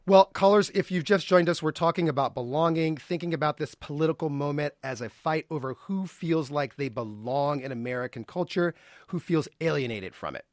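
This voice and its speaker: A man hosting a live call-in radio show, speaking in an animated way that projects to callers. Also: on the high side, a little fast, no room sound, studio quality. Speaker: radio host.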